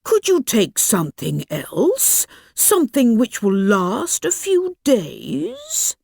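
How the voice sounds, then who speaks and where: A woman voicing a sly, charming male storybook wolf with a smooth, coaxing, falsely polite manner. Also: a little high, huge pitch swings, slow pace, darkish tone, no room sound, studio quality, voice pushed up, full character voice.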